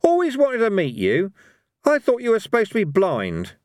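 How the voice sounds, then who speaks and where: A man's voice: sits high, huge pitch swings, moderate pace, a little mellow, no echo, studio quality, pitched above the performer's own voice. A man voicing a cocky, irreverent old barbarian hero with a brash, teasing manner in a storybook reading.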